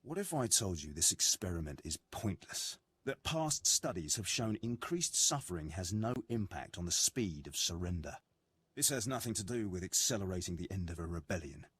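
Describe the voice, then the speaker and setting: An actor playing an ambitious, resentful military sergeant in a video game, formal, clipped and self-important. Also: male voice, sits low, big pitch swings, moderate pace, fairly bright tone, breathy edge, no echo, exaggerated voice. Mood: dry, disdainful. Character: ambitious, resentful, impatient male military sergeant of an evil empire.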